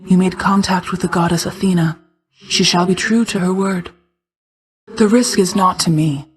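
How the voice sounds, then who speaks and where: A woman's voice: lowish voice, fairly even intonation, natural voice. Woman voicing a solemn, regal goddess of night. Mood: composed, encouraging. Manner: measured, formal, stately, deliberate. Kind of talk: scripted video game character lines.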